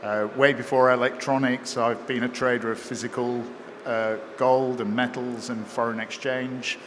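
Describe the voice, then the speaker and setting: A man, likely middle-aged, introducing himself as a CEO on an expo panel in a measured, polite way. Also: mid-range voice, flat delivery. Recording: reverberant hall with faint noise.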